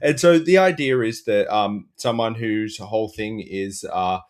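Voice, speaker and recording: Low-voiced Australian man ranting comedically. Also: unhurried. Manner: exasperated, sweary, rambling run-on rants. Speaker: comedy panellist. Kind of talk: comedic panel riffing.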